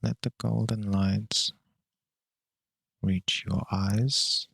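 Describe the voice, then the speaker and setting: A man leading a guided meditation in a calm, measured, unhurried way with long pauses between phrases. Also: lowish voice, creaky, no echo, clean studio sound.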